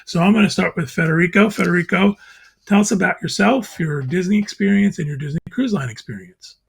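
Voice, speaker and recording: A man hosting a casual video panel chat, introducing guests and asking questions in a friendly, steady way. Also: sits high, fairly quick, creaky. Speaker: podcast host.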